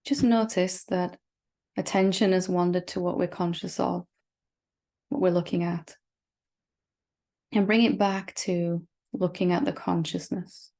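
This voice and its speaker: A woman leading a guided meditation as a spiritual teacher, speaking gently and reassuringly with long, unhurried pauses. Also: lowish voice, fairly even intonation, creaky.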